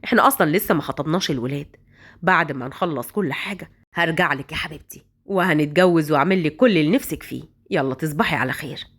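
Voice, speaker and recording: A woman's voice: deep voice, fast talker, pitched below the performer's own voice. A woman voicing a scheming young man with an Egyptian accent who sweet-talks his lover. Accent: Egyptian Arabic. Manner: smooth, scheming.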